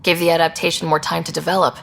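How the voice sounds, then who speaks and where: An actress playing a composed, earnest young space explorer in a video game, measured and direct. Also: female voice, lowish voice, little pitch movement, gravelly, a bit bright. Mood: composed, curious. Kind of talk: video game dialogue acting. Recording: long hall reverb, faint noise.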